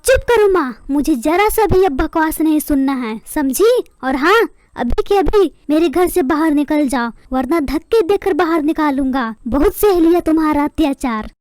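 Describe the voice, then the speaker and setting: A woman's voice: high voice, animated, normal speed, a little mellow, no room sound. A woman voicing a rude, bossy grown son bird in a melodramatic cartoon, scolding and defiant. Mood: angry, scolding.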